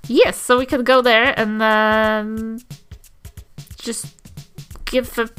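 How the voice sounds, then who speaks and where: Higher-voiced woman playing a tabletop game. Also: level tone, slow pace, fairly bright tone, clear, resonant tone, soft background music. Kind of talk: casual improvised role-play chat.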